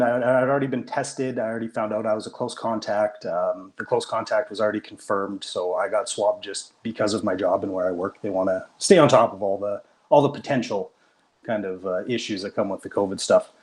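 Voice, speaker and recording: A paramedic in his thirties talking in a relaxed way, with ums and hedging fillers. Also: lowish voice. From Saskatchewan, Canada. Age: 30s. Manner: relaxed, with ums and kind-ofs. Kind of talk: interview recollection.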